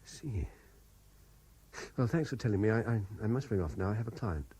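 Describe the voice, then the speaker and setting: An actor playing an earnest, insistent gentleman lawyer in a 1970s radio drama. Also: on the low side, expressive, fairly quick, breathy edge. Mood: guarded. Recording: noisy old 1970s radio broadcast.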